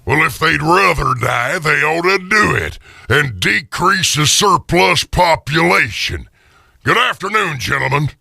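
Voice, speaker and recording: A man playing a bitter, miserly old businessman in a radio drama, with a gravelly, raspy voice and a curt, sarcastic manner. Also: fairly high pitch, lively intonation, unhurried, pushed and bright, no echo, clean studio sound, pitched above the performer's own voice, heavily acted. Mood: cold, sarcastic.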